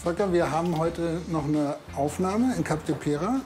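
A man's voice: a little high, normal speed, music in the background. A man in his forties speaking as a real estate agent in his office. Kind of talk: presenting himself, instructing a colleague. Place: indoors in an office.

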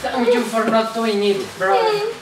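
A woman's voice: on the high side, big pitch swings, unhurried. Repentant puppet-show fisherman, Spanish accent played by a woman, recorded from afar in a noisy room. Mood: apologetic. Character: repentant fisherman, angry brother turned apologetic. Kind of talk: scripted puppet-show dialogue. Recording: echoey, distant, noisy room.

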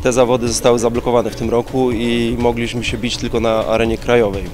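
Male sports coach interviewed over clear music. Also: mid-range voice, flat delivery, fast. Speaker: martial arts coach and competitor. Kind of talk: on-camera interview. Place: large gym hall, indoors. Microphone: handheld reporter microphone.

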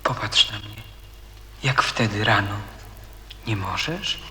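A man's voice: on the low side, animated, takes it slowly, pushed and bright, exaggerated voice. An actor playing a smitten, intense young man in a radio play, earnest and sparing with words. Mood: tender. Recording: echoey reverberant space, faint noise.

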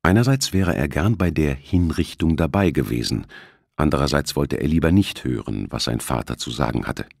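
A man's voice: very deep voice, lively intonation, normal speed, creaky, darkish tone, dry recording, studio quality. A man narrating a suspense novel as an audiobook, reading from a script. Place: close, dry studio recording.

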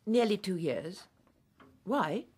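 A middle-aged woman playing a dignified, indignant Victorian widow in a radio drama, measured and emphatic. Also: mid-range voice, expressive, darkish tone, husky.

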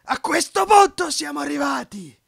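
A man's voice: extremely high pitch, lively intonation, moderate pace, vocal fry, fairly bright tone, full character voice. A man dubbing a paranoid, ranting middle-aged dictator in an amateur film dub. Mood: furious. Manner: ranting, with emphatic repetition.

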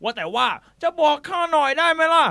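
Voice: sits high, animated, a little fast, pushed and bright, no room sound, clean studio sound, exaggerated voice. A performer voicing a boastful, gullible bully snake with exaggerated delivery in a children's animal fable. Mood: eager, gullible. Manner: exaggerated, threatening then whining.

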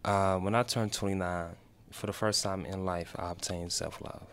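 Smooth low-voiced young man. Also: steady tone, moderate pace. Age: 20s. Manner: open, encouraging, testimonial. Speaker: guest, peer specialist. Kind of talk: casual interview chat. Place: small radio studio, indoors. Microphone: desk mic on stand.

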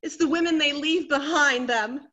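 A woman's voice: sits high, normal speed, bright, forceful tone, muffled recording. A middle-aged woman playing an anxious, melodramatic wife in a stage comedy, fretful and exclaiming. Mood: anguished. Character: anxious, melodramatic wife awaiting soldier husband's return. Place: indoor room, recorded over video call.

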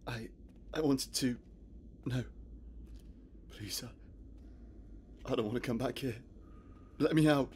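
A man voicing a panicky young man trapped underground in a horror game, halting, stammering and pleading. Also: medium pitch, darkish tone, a little hiss or hum, natural voice. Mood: panicked, pleading.

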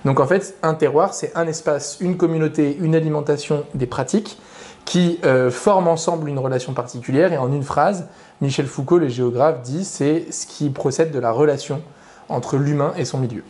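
A man's voice: fairly high pitch, moderate pace, a little mellow. A young adult man, an author invited as a guest, giving long structured explanations that list his points in order. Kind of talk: explanatory interview answers.

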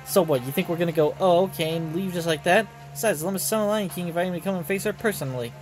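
A man's voice: high-pitched, quick pace, faint music behind, close to an everyday voice. A man voicing a brash, hot-tempered young woman fighter from a game. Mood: indignant. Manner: brash, blustering.

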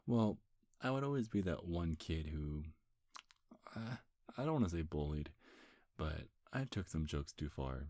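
A man's voice: sits low, very animated, a little slow, warm tone, no echo, clean studio sound. A man playing a charming, teasing demon seducer in an intimate ASMR roleplay, sly and flirtatious, with hesitant stammers. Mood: flirtatious, coy. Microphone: close, dry microphone.

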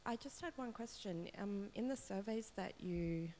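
A woman's voice: mid-range voice, moderate pace, warm tone, a little hiss or hum. A woman in the audience asking a question at a seminar, hesitant with many ums and a wry edge. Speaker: audience member. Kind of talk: question from the floor. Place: lecture room. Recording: distant voice with long reverb in a lecture hall.

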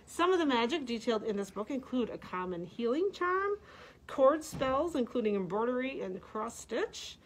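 A woman's voice: a little high, big pitch swings, moderate pace. A middle-aged woman, a librarian reading book blurbs with casual asides, slightly muffled by a face mask. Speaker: librarian presenting books. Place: library room with bookshelves.

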